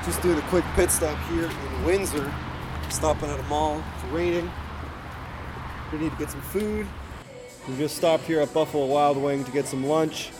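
A young man with an excitable manner, chatting and commentating casually as he records an audio travel log. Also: a little high, normal speed. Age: young adult. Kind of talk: casual chat and field commentary. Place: on location during a road trip. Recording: field recording, music and traffic behind.

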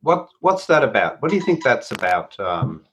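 A man interviewing, asking slow, reflective questions. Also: medium pitch, sing-song, a bit bright, slightly rough. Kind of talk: gentle probing questions.

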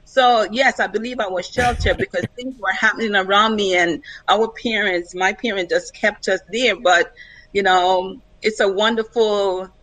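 A woman's voice: medium pitch, steady tone, normal speed, a bit bright, dry recording, rough recording. An older woman speaking casually and personally as a guest on a panel discussion.

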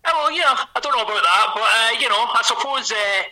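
A man's voice: extremely high pitch, fast talker, bright, forceful tone, poor audio. A middle-aged man from Scotland with a Glaswegian accent, an author being interviewed, talking in a hesitant, restarting way. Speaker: author guest. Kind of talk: conversational interview.

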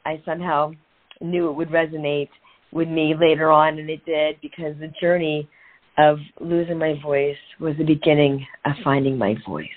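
A middle-aged woman guest telling her own story, earnest and reflective, speaking in short phrases with pauses. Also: low-pitched, fairly even intonation. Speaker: guest, author and transformational coach. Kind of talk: candid personal story in a podcast interview. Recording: tinny phone-like line.

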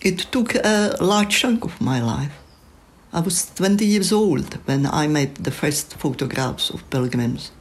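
An older woman with a Czech accent, a photographer speaking in a slow, measured, thoughtful way in a prepared reflection. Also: low voice, animated.

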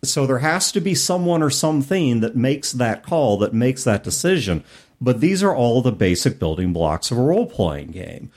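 A man's voice: mid-range voice, sing-song, normal speed, a little mellow. A man co-hosting a casual gaming discussion, explaining things with rhetorical questions and asides. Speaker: podcast co-host.

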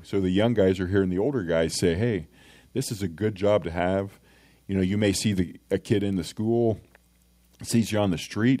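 A middle-aged man with a deep voice, a school resource officer, telling stories in a plainspoken, earnest way in a casual podcast interview. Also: warm tone, no echo.

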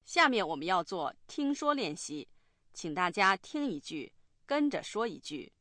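A woman's voice: medium pitch, big pitch swings, moderate pace, fairly bright tone. A woman presenting a language lesson in scripted instructional narration.

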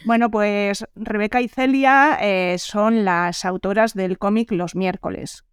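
Chatty woman radio host, smooth voice. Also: mid-range voice, fairly even intonation, takes it slowly, dry recording, clean studio sound. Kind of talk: casual radio interview.

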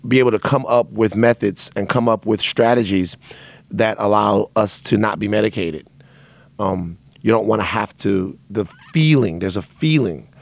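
A man hosting a podcast, talking candidly in a loose, rambling way with a raspy voice from a dry throat. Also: lowish voice, no room sound. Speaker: podcast host. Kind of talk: casual monologue to listeners.

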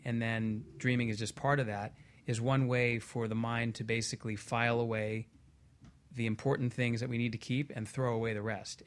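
A man speaking as a guest expert in a panel discussion, giving measured explanations with careful, halting phrasing. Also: medium pitch.

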